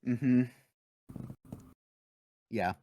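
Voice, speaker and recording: A man hosting a podcast in a relaxed, conversational way, often trailing off quietly. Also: mid-range voice, very slow, dull audio. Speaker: podcast host. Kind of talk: casual podcast chat.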